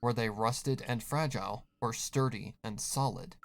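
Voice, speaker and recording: A man's voice: mid-range voice, a little slow, gravelly, no echo. A man reading scripted third-person horror fiction as the narrator.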